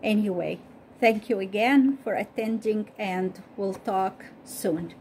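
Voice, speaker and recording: Slow-reading woman, native Arabic speaker. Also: mid-range voice, a little mellow, slight background noise. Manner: slow, careful reading, repeating each question. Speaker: Bible study host. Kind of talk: slow reading of a Bible story with study questions.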